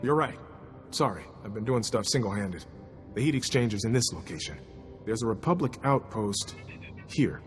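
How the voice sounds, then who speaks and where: An actor playing a gruff, weary and practical military commander in a video game, brisk and blunt. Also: male voice, on the low side, expressive, in a large hall, faint music behind, close to an everyday voice. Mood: anxious. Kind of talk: video game cutscene acting.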